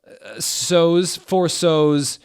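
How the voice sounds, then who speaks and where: Man acting as a shrill, overwrought goth vampire girl. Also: very slow, clean tone. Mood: indignant, defiant. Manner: overwrought shouting. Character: young melodramatic goth vampire girl narrator, shrill and angry.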